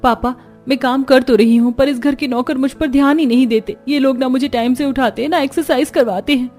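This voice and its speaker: A woman's voice: high-pitched, fairly even intonation, fairly quick, a little mellow, soft background music, natural voice. A woman voicing a pampered young woman of a rich household in a cartoon story, whiny and demanding. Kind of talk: Hindi cartoon story voice acting.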